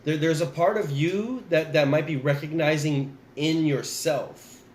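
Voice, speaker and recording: A man's voice: fairly high pitch, measured pace, echoey room, slight background noise. A man giving a casual tarot reading, talking straight to his viewers while getting over the flu. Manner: relaxed, repeats and restarts, addresses viewers directly. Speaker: tarot reader.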